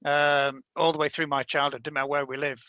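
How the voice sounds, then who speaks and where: A man's voice: on the high side, level tone, a little fast, bright, forceful tone, no room sound, muffled recording. A man in his fifties with a light Yorkshire accent, telling a rambling story on a casual panel chat. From Yorkshire, England. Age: 50s. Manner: chatty, rambling storyteller with frequent restarts. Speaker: panel guest, comic creator.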